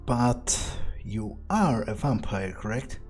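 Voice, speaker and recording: A man's voice: mid-range voice, lively intonation, a little mellow. A man roleplaying a guarded, blunt, sardonic vampire in a video game, with a wry, hesitant, thinking-aloud delivery. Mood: curious, wary. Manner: wry, unhurried, hesitant musing, casual swearing. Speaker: let's player. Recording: faint music behind the voice. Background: faint game music.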